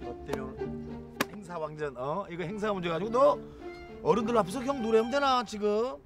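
An actor playing a blustering middle-aged agency boss, scolding in a sitcom. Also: male voice, sits high, a little fast, natural voice. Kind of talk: sitcom acting. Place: outdoor park. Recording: background music under dialogue.

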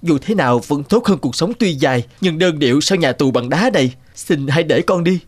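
A man's voice: fairly high pitch, animated, a little fast. A man playing a brave young prince in a cartoon, speaking in an earnest, heroic manner. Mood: longing, pleading. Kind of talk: cartoon voice acting. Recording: music behind.

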